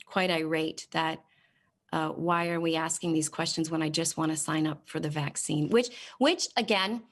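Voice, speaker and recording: A woman's voice: sits low, fairly even intonation. Exasperated woman testifying at a hearing. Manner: exasperated, sarcastic questioning, halting with many fillers. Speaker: state senator at a committee hearing. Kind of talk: committee testimony, reading off a website form. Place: legislative hearing room.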